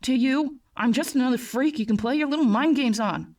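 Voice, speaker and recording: A woman's voice: high voice, fairly quick, darkish tone, recorded from a distance. A woman voicing an agitated, tormented young male patient in a horror story, tight and bitter, struggling to keep composure. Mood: angry, agitated. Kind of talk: acted horror story dialogue.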